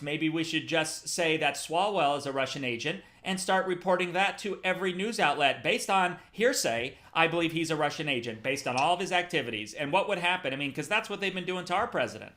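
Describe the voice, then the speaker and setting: Bright-voiced man hosting political talk. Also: fairly high pitch. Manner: earnest, asks pointed questions. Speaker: host. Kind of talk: political talk show chat.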